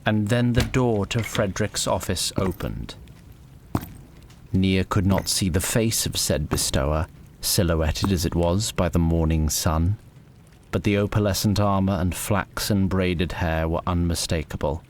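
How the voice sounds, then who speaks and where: A man's voice: low voice, a little slow, slight background noise. A man reading scripted narration for a fantasy story as its narrator.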